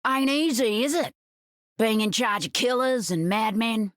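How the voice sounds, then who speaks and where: A woman's voice: fairly high pitch, animated, a little slow, fairly bright tone. An actress playing a sly, menacing outlaw woman in a video game, with a casual folksy swagger. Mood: teasing, menacing.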